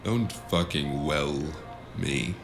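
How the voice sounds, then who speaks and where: An actor playing a gruff, weary cyberpunk investigator, narrating his own story in a deadpan, noir-like audio drama style with a gravelly voice. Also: extremely low pitch, very slow, exaggerated voice. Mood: wary, annoyed. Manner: deadpan, profane. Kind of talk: noir-like scripted narration and dialogue. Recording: music behind the voice.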